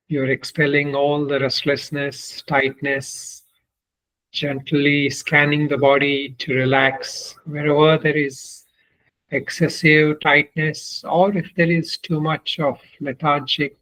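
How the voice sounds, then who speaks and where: Male meditation guide. Very slow, measured delivery with little melodic movement and long pauses. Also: on the high side, level tone, bright, forceful tone, poor audio. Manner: deliberate, measured, with long pauses between short phrases. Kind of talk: guided meditation, spoken instruction.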